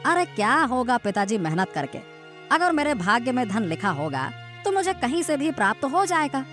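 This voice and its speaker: A woman's voice: fairly high pitch, big pitch swings, normal speed, fairly bright tone. A woman playing a lazy, boastful young man in a cartoon moral story. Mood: dismissive. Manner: boastful, dismissive. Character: lazy, cocky young son trusting in fate. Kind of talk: animated moral story dialogue. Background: background music.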